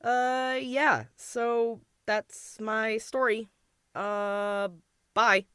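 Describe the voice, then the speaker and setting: A woman's voice: a little high, little pitch movement, extremely slow, sharp tone, smooth, dry recording. A woman casually telling a personal story in an irreverent, slangy and dramatic way. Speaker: restaurant worker telling a personal ghost story.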